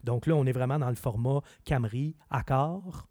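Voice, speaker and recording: A man with a Québécois French accent, a car expert chatting on a radio show in an opinionated, animated way. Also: medium pitch, normal speed, no room sound. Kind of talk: casual chat.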